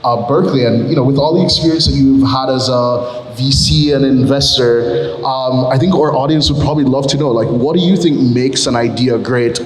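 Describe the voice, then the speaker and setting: A man moderating a live panel, asking questions loudly and quickly with many fillers. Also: mid-range voice, a little hiss or hum. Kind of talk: stage interview questions. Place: large hall, live event. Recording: echoey hall.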